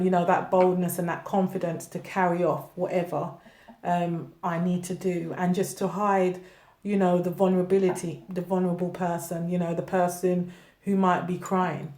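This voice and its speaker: A woman's voice: on the low side, a little mellow. A woman poet talking reflectively and candidly about her own work, conversational and emphatic, often checking that the listener follows. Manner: candid, emphatic, with frequent "you know" and check-in questions. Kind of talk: reflective, conversational personal talk. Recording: roomy, echoey sound.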